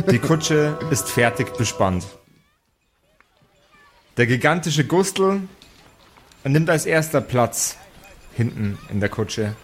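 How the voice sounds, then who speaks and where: Male game master, slow measured storytelling for an improvised fantasy game, music bed underneath. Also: mid-range voice, animated. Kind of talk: improvised tabletop game narration.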